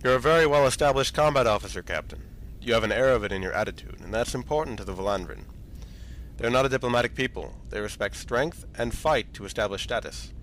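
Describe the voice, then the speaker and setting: A man's voice: mid-range voice, quick pace, close to an everyday voice, rough recording. A man playing a senior space fleet admiral in a science fiction audio drama, briefing in a formal, authoritative manner. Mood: measured, authoritative. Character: commanding senior space fleet admiral, brisk and matter-of-fact. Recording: steady background ambient noise.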